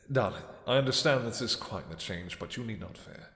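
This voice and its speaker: A man playing an imperious, possessive vampire king in a romantic audio roleplay, speaking in a deep, soft, courtly voice with formal phrasing. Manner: formal, courtly, commanding, hesitant pauses. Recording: echoey hall with long reverb.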